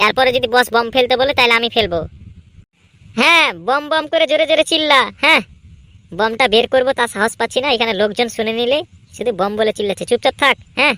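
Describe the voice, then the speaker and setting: Woman as a sharp, fast-talking cocky village lad. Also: fairly high pitch. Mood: boastful, squabbling. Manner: bantering, boastful, argumentative. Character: cocky, idle young male village club thugs. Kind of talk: exaggerated comedy cartoon dialogue.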